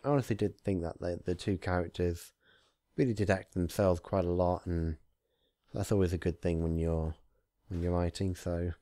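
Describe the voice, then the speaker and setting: A man's voice: low-pitched, fairly even intonation, normal speed, mellow, no room sound, studio quality. A man working as an amateur narrator, reading a fan story and chatting informally about it.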